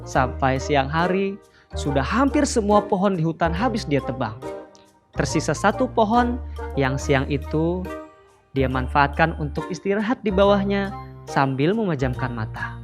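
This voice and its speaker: A woman telling a children's story in an animated, sing-song way, addressing the children directly. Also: low-pitched, normal speed, music in the background.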